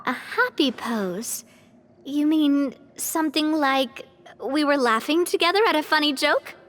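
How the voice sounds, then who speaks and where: A woman's voice: high-pitched, measured pace, a bit bright, faint music behind. An actress playing a confident young woman who leads a guild, decisive and upbeat, in a game cutscene. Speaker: guild leader. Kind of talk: video game cutscene dub.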